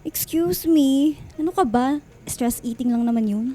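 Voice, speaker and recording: An actress playing a chatty young student who is unsure in love, in a radio drama, with a Filipino accent. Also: female voice, high voice, measured pace, mellow. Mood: light, chatty. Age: young adult. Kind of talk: radio drama acting with English code-switching.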